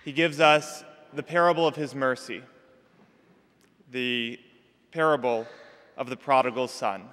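A man's voice: medium pitch, unhurried, pauses often, a bit bright. A young man, a priest, giving an informal homily from a church lectern. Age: young adult. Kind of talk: informal sermon. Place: large stone church, at a lectern. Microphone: gooseneck lectern microphone. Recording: reverberant church hall.

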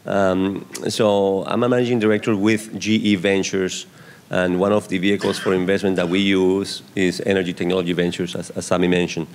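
A middle-aged man from Colombia with a Colombian accent, speaking into a handheld mic on a conference panel stage, explaining in a measured way. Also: deep voice, flat delivery. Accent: Colombian Spanish. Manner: measured, explanatory, restarts phrases. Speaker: venture investor panelist. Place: large conference hall stage. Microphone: handheld microphone.

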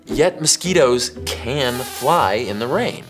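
A man's voice: mid-range voice, expressive, slow. An American man in his forties hosting a science explainer, talking to camera in a casual, emphatic, conversational way. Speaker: science show host. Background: background music.

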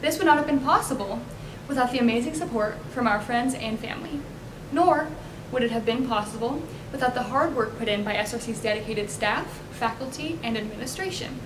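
Young woman, American accent, highish voice, scripted student address, echoey room, noticeable rumble. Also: fairly quick, hardly any pauses. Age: young adult. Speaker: student graduation speaker. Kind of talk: scripted commencement speech. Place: indoor multipurpose room at podium.

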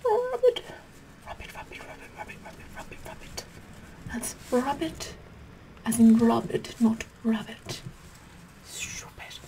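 A woman playing a haughty, whispering, slow-talking queen. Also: fairly high pitch, sing-song, poor audio. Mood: bossy. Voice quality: breathy whispering mixed with soft speech. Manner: slow, imperious, condescending, repeats words. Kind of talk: whispered ASMR roleplay.

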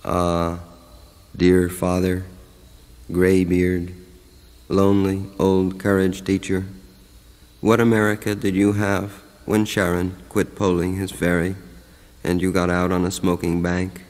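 A man reciting a poem as a scripted voice-over, in a measured, contemplative manner. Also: deep voice, completely flat delivery, darkish tone. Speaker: poem narrator. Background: music.

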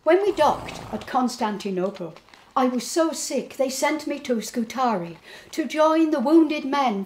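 A woman's voice: fairly high pitch, a little hiss or hum, rough recording. A woman performing a devoted, plain-spoken Irish soldier telling his story earnestly in a dramatic poetry monologue.

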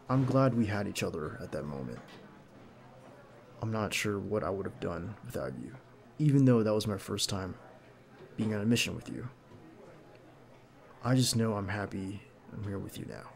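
A man playing a nervous, devoted bodyguard on a date in an intimate ASMR roleplay, speaking softly and hesitantly with some gentle teasing. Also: on the low side, lively intonation, moderate pace, pauses often. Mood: tender. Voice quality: creaky. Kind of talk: ASMR roleplay acting, intimate one-sided dialogue.

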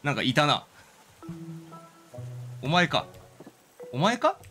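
A man's voice: fairly high pitch, big pitch swings, slow, pushed and bright, soft background music. A man casually commentating on a video game, reacting aloud and talking to the game as he plays. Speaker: streamer playing a video game. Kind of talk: casual game commentary with dramatic line readings.

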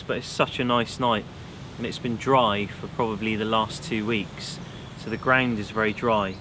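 A young British man chatting casually about outdoor gear in a woodland, keen and relaxed. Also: mid-range voice. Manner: relaxed, enthusiastic gear talk. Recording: outdoor camera audio, clear background noise.

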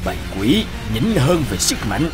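A man telling a cartoon folk tale as a scripted narrator with an even storytelling delivery. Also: mid-range voice, normal speed, loud music behind the voice.

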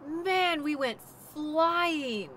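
A woman playing a cheerful, reassuring dog-sled musher in a naturalistic audio roleplay, chatting gently to her passenger. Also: sits high, lively intonation, extremely slow, a little hiss or hum, full character voice. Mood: startled, concerned. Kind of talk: audio roleplay, naturalistic second-person acting.

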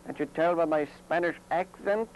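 A man playing an evasive Hitler posing as an Argentine author in an amateur comedy film, bluffing his way through unhurried answers. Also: on the high side, slight background noise, lightly acted, rough recording.

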